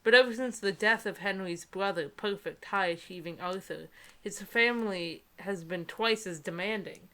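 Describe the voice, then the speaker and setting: Bright-voiced young woman, sarcastic and giggly. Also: mid-range voice, moderate pace, low-quality recording. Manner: sarcastic, giggly, often stumbles while reading. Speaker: co-host. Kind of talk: mocking read-aloud with casual banter.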